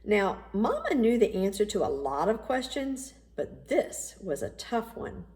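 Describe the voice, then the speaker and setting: A woman reading a children's storybook aloud as an expressive narrator. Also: mid-range voice, a little slow. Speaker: audiobook reader.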